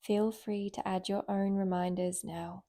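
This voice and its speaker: A woman leading a guided relaxation in a gentle, soothing, unhurried way with long pauses. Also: medium pitch, little pitch movement. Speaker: meditation guide, yoga nidra teacher.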